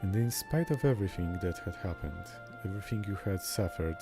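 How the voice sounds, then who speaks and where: A man's voice: sits low, expressive, fairly quick. A man reading game dialogue aloud as a streamer, sometimes stumbling over words and repeating them, with game music behind him.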